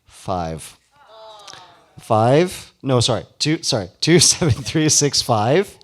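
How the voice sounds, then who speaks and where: A man's voice: mid-range voice, sing-song, slow. A man hosting a live ceremony in a casual, informal way with playful banter. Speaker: event host. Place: live awards ceremony before an audience.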